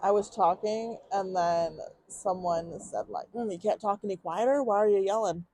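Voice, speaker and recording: A young woman co-hosting a podcast, chatting casually with a friend, slang-heavy and quick to laugh. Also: mid-range voice. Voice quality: loud projecting voice. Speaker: podcast co-host. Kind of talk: casual chat.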